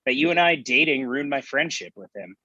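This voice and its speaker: A man's voice: medium pitch, lively intonation, fairly quick, bright, forceful tone, dry recording. A man playing a worried, mild-mannered friend, conversational and conflicted, in a comedy table read. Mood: defensive. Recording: dull, close dry microphone.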